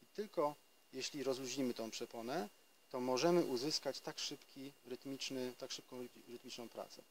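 A man in his thirties explains a breathing exercise for trumpet players in an unhurried, searching way, often stopping to rephrase. His voice sits in an ordinary middle range. Faint insects and open-air ambience surround him, picked up by a camera a few steps away. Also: mid-range voice, slight background noise, rough recording. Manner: explanatory, with hesitations and restarts. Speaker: trumpet teacher. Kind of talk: casual spoken lesson. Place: outdoors in a forest.

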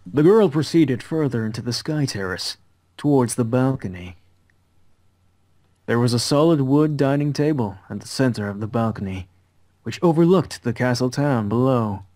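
Guy reading visual novel narration, average pitch, dry sound. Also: expressive, normal speed, mellow, no echo.

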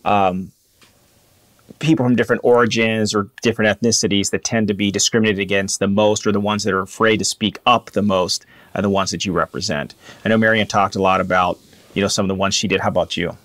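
Low-voiced man hosting a podcast. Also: steady tone, fast talker, a bit bright, no room sound. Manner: conversational, asks probing questions. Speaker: podcast host. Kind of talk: interview hosting.

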